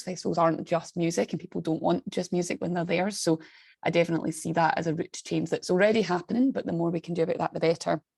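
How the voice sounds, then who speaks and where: A woman's voice: on the low side, creaky, a little mellow, no room sound, studio quality. A woman speaking on a video call in a chatty, enthusiastic way. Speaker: staff member of an international alliance. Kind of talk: online meeting contribution.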